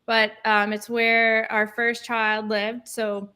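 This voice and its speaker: A woman in her thirties with a Maine accent chats as a guest on a live video call. Her voice sits a little high and sounds bright and forceful. She rambles cheerfully and laughs easily. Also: flat delivery, slow pace, clear, resonant tone. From Maine, USA. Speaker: guest, children's author.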